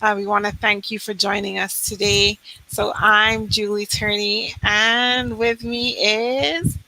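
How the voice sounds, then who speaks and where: A woman host from Manchester talks in a friendly, earnest way. Her voice is bright and forward. Now and then she gets a little tongue-tied mid-sentence. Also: mid-range voice, level tone, takes it slowly, gravelly, no echo, low-quality recording. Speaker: co-host, HR consultant. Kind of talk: casual podcast chat.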